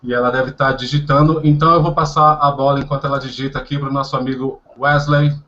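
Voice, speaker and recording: A man's voice: mid-range voice, flat delivery, racing, bright, forceful tone. A Brazilian man hosting a casual language-practice video call, upbeat and laughing often, switching between Portuguese and English. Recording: dull lo-fi video call audio.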